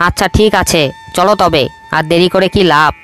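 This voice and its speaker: A man voicing a cheerful, helpful young male monkey in a cartoon, talking in a quick, high, friendly way. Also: a bit bright, faint music behind. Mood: eager. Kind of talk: cartoon voice acting.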